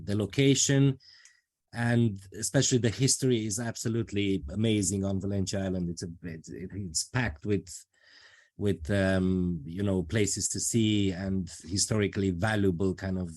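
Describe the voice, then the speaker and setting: A man from Romania with a fairly low voice, speaking English as a second language. He talks at an easy, considered pace, stopping often to search for words with plenty of ums. He comes across as a friendly interview guest explaining his work. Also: a little mellow. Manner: thoughtful, with many filler pauses. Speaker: project manager, guest. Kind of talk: casual interview.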